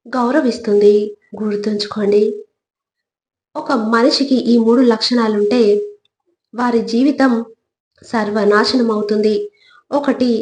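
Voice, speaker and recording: A woman reading scripted motivational narration in a deliberate, instructive manner, in short measured phrases. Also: a little high, darkish tone. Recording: echoey, reverberant voice track.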